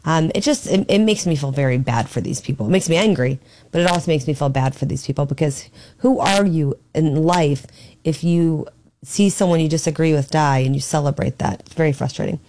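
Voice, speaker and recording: A woman filling in as a radio talk host from her home studio, hoarse and losing her voice, talking in a chatty, opinionated way. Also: deep voice, a little fast, warm tone. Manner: chatty and opinionated, thinking aloud. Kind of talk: casual talk radio commentary.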